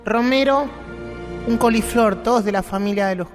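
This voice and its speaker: A man's voice: extremely high pitch, normal speed, in a large hall, over music. A man reading a comic list in a dry, matter-of-fact way.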